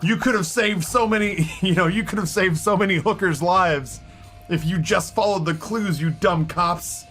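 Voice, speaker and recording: A man voicing a smug, freshly caught serial killer who mocks the police, with a hoarse voice. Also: sits high, normal speed, fairly bright tone, faint music behind, pitched above the performer's own voice. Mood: gloating. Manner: gloating, mocking.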